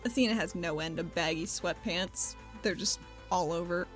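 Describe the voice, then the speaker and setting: A woman's voice: fairly low pitch, normal speed, faint music behind. A woman chatting casually as a player in a tabletop game.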